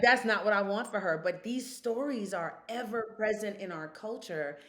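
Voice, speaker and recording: A woman's voice: mid-range voice, fairly bright tone. Emphatic woman telling stories. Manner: emphatic storyteller, rhetorical questions. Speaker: guest, consultant. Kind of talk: podcast conversation.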